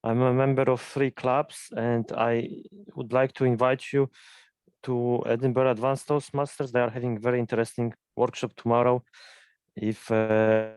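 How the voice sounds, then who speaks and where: Flat, smooth-voiced Polish man. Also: medium pitch, no echo. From Poland. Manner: halting, searching for words. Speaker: guest introducing himself.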